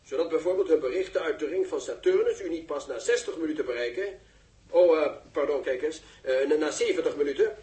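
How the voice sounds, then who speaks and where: An actor playing a pompous, easily flustered live reporter in a 1960s radio drama, speaking in formal, florid phrases. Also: male voice, on the high side, brisk, mellow, close to an everyday voice. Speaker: television reporter. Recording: echoey, distant 1960s radio recording.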